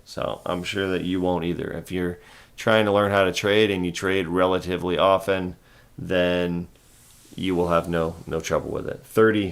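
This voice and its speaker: Low gravelly man chatting on a livestream. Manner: rambling, halting, repeats words, answers chat. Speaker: stock trading livestream host. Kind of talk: casual livestream chat.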